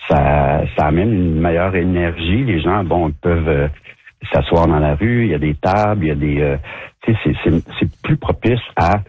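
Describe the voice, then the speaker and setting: Very deep Quebec French man on a phone line. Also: moderate pace, breathy edge, no room sound. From Montreal. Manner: hesitant, many restarts and fillers. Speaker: downtown shop owner. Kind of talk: radio interview guest. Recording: thin phone-like radio line.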